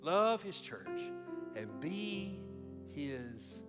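American pastor preaching over soft music. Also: male voice, a little high, big pitch swings. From Alabama, USA. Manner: shifts between slow emphatic preaching and quick storytelling. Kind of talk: sermon with personal story. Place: church sanctuary.

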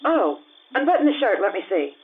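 A woman's voice: medium pitch, very animated, a little fast, phone-quality sound, natural voice. A woman playing a blunt, teasing, good-humored widowed Italian cook in an old-time radio drama.

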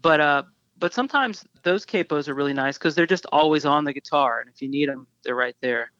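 A man in his early fifties, a guest musician in a casual interview, talking in a rambling way with many restarts and fillers. His voice sounds high for a man. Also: a little fast, a bit bright, no room sound, lo-fi sound. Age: 50s. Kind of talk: casual interview about guitars.

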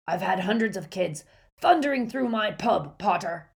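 A woman voicing a gruff, blunt older male barkeeper who scolds. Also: mid-range voice, lively intonation, moderate pace. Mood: gruff, scolding.

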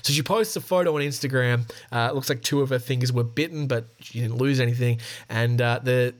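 A man's voice: medium pitch, fairly quick. A man hosting a podcast, reading a news article aloud with wry, sarcastic asides and frequent self-corrections. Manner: wry, sarcastic running commentary. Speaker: podcast host.

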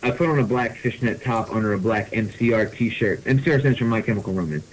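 A man's voice: on the low side, quick pace, poor audio. Mock-dramatic man reading over background noise. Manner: mock-serious delivery, often breaking into laughter. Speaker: podcast host reading fan fiction aloud. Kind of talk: comedic dramatic reading with asides.

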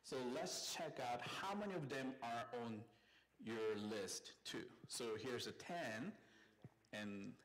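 A man's voice: medium pitch, lively intonation, slow, a bit bright, rough recording. A man with a Korean accent, a native Korean speaker, preaching a conversational sermon as a site pastor in a church. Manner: friendly and conversational, with call-and-response. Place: church sanctuary.

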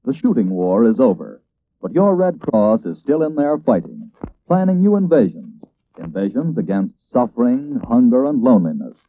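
A man's voice: mid-range voice, very animated, normal speed, slight background noise. A man reading scripted copy as a 1940s radio announcer, emphatic and declamatory. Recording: old narrow-band radio broadcast.

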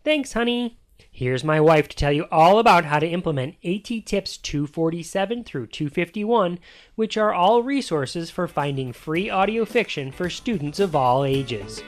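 American male podcast host, very animated pitch swings, playful scripted intros. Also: normal speed. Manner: playful, punning delivery.

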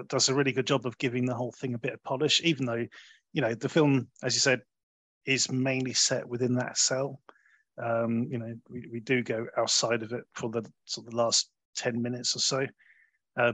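A man's voice: medium pitch, fairly bright tone, no echo. Close, dry-sounding man reviewing films. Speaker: film review podcast host. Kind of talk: conversational film review.

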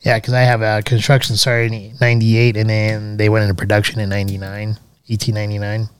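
A man's voice: fairly low pitch, monotone, fairly quick, clear, resonant tone. A man co-hosting a podcast in casual chat, talking in a hesitant way with many filler sounds. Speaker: podcast co-host.

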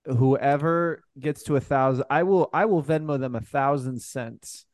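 A man's voice: mid-range voice, unhurried, a little mellow, dry recording, studio quality. A man co-hosting a podcast, chatting casually and jokingly. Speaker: podcast host.

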